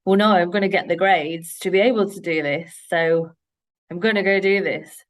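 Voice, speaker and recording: A woman's voice: lowish voice, steady tone, moderate pace. A woman in her late thirties with a Yorkshire accent, speaking frankly and directly as a guest in a podcast interview, with frequent fillers. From Yorkshire, England. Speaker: guest, head of operations. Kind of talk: podcast interview, casual chat.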